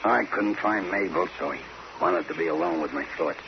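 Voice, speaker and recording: Gravelly young prizefighter, dim and hot-tempered. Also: male voice, deep voice, moderate pace. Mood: sullen, defensive. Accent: American. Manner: rough uneducated slang speech. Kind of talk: old-time radio crime drama acting. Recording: noisy, dull old radio recording.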